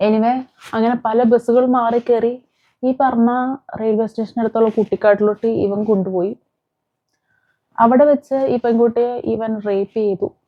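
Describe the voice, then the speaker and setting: A woman telling a true-crime story informally, in a conversational, explanatory way with English words mixed in. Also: on the high side. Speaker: host of a crime commentary channel. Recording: dull, muffled lo-fi sound.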